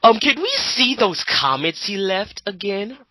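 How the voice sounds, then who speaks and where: Male co-commentator, forceful and sharp, crude sarcastic ranting, dry recording. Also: sits high, big pitch swings, unhurried, no room sound.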